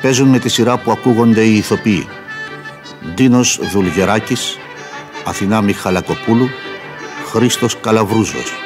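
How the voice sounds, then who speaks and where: A man's voice: lowish voice, long pauses. A man reading scripted credits formally as a radio announcer. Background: loud brass music.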